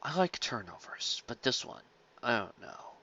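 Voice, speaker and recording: A man voicing a scholarly, fussy pony food critic with a grave, measured manner, on a dull lo-fi recording. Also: medium pitch, very animated, gravelly, pushed and bright, exaggerated voice. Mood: grave, critical.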